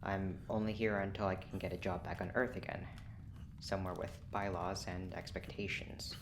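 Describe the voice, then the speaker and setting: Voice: low-pitched, little pitch movement, moderate pace, vocal fry. An actor playing a principled, guarded research scientist in authority in an audio drama, speaking tersely and formally. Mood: guarded, firm. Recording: noisy, with ambient sound behind.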